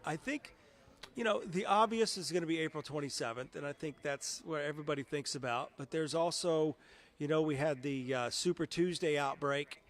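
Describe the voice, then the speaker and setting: A man's voice: fairly high pitch, moderate pace. A middle-aged man, a television meteorologist, chatting in a casual interview and telling stories in a conversational way. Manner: conversational, anecdotal.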